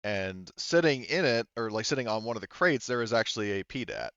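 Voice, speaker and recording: Male game master, casual and halting, smooth tone, close dry sound. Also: fairly low pitch, animated, fairly bright tone, no echo, highs cut off. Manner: halting, informal, sweary. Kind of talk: casual improvised narration of a tabletop roleplaying game.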